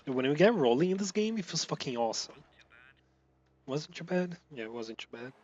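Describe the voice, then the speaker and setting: A man's voice: on the high side, big pitch swings, fairly quick, muffled recording. Mumbly swearing man from Brazil. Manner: rambling, swears a lot, mumbles words together; loud outbursts at driving mistakes. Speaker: gameplay streamer. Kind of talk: casual gameplay commentary.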